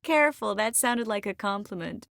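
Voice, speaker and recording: A woman voicing a witty, caring, self-assured young sorceress in a video game, often dropping to soft, intimate murmurs. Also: mid-range voice, lively intonation, normal speed, dry recording, studio quality. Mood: wry, confident. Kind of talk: scripted game character dialogue. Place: close studio recording.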